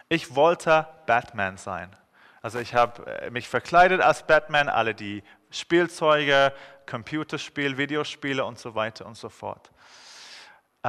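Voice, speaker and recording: A man in his thirties with an English accent delivers a sermon in a friendly, joking way, pausing often to hesitate. His voice is clear and forceful. It rings out with a long reverb, as in a large hall. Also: medium pitch. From England. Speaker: guest preacher.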